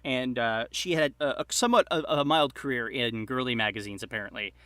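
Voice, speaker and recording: Male podcast guest, crisp bright tone, conversational and halting, dry close recording. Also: medium pitch, no room sound. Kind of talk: casual chat, recounting film trivia.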